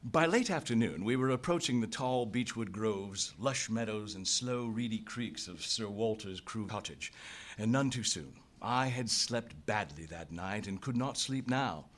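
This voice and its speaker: An actor playing an earnest young adventurer hero in a live radio play, speaking in dramatic, literary phrasing. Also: male voice, fairly low pitch, animated, unhurried, a bit bright. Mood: weary narration. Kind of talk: live old-time radio play acting and narration.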